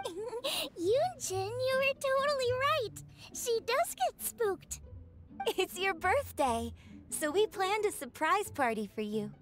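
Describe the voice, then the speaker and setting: Cute, mischievous young girl for a game: very high, bouncy melody, over music. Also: very animated, slow, heavily acted. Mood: playful, gleeful. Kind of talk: video game voice acting.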